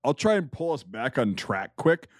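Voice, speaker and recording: A man's voice: medium pitch, sing-song, normal speed, no room sound, clean studio sound. A man hosting a podcast, blunt and profane, steering the talk back on track. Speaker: podcast host. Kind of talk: casual podcast chat.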